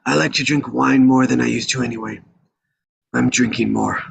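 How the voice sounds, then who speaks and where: A man playing a weary, forgetful old mafia patriarch in a script read, speaking in a rambling, halting way. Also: medium pitch, steady tone, gravelly, background noise. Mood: reflective. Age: older. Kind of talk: live table read of a crime film script.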